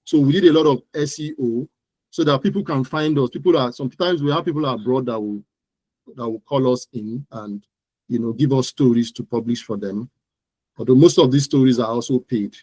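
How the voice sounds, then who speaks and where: A Nigerian man speaks as an interview guest about his work. His voice sits at a middling pitch in a dry recording, and he stresses points by repeating his phrases. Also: brisk, a little mellow, breathy edge, no echo, rough recording. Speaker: interview guest, digital marketing entrepreneur. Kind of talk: conversational interview about own business.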